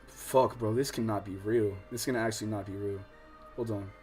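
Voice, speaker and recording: A man's voice: on the low side, normal speed, soft background music. A man livestreaming a visual novel, switching between casual, slangy, exclamatory reactions and reading the game text aloud. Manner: animated, loud exclamatory outbursts. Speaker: streamer playing a visual novel.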